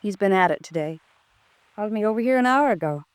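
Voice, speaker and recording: An actress playing a sardonic, needling wife in a 1950s radio drama, with an arch, ironic delivery. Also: female voice, medium pitch, expressive, quick pace, clean tone, no echo, natural voice. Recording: restored 1950s radio recording.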